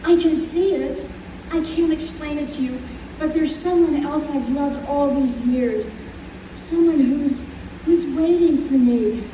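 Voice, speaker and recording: A woman's voice: high-pitched, slow pace, warm tone. A woman playing a sad, bewildered reincarnated soul in an old-time radio play, recorded live in a roomy space. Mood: sad, resigned. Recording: roomy live stage recording, distant, faint noise.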